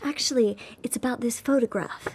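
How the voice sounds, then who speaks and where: A woman's voice: a little high, brisk, darkish tone, breathy edge. An actress playing a polite but guarded American woman traveller, reserved and understated, in a naturalistic radio drama. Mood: polite, curious. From Seattle, United States. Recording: background noise, vehicle and room ambience.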